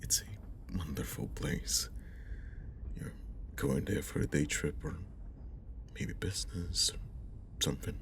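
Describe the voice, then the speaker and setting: A man acts out a caring young guy talking to an old crush in an ASMR roleplay. His voice is extremely deep and crackles with vocal fry. He speaks slowly and gently, half whispering in a shy, friendly way. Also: full character voice. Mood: gentle, reassuring. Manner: soft, gentle, breathy half-whispered delivery, hesitant. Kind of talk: ASMR roleplay, intimate one-sided conversation.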